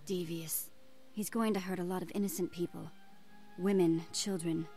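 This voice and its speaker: A woman's voice: on the low side, steady tone, normal speed, mellow, soft background music, lightly acted. An actress voicing a firm, principled woman who defends a city in a video game. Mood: grave.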